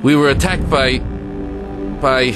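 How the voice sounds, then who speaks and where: An actor playing an urgent, earnest army lieutenant in an audio drama, clipped and dutiful. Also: male voice, medium pitch, steady tone, takes it slowly, over loud music, natural voice. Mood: shaken, dutiful. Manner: clipped orders, respectful to superiors.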